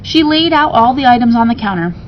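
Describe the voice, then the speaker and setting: A woman's voice: on the high side, expressive, fairly quick, dull audio, slight background noise. A woman reading a children's story aloud expressively, like a teacher to students, with casual asides.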